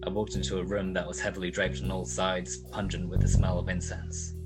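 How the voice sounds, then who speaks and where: A man's voice: sits low, flat delivery, a little fast, over loud music, low-quality recording. A man playing a hard-boiled private detective in a noir radio play, sardonic and world-weary. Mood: terse, moody. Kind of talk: 1940s noir radio narration and dialogue.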